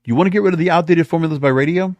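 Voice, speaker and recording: A man's voice: on the high side, rapid-fire, a little mellow, no echo, muffled recording. A man with an American accent delivering opinionated podcast commentary as host, emphatic and stretching words for stress. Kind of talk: opinionated solo commentary on the music industry.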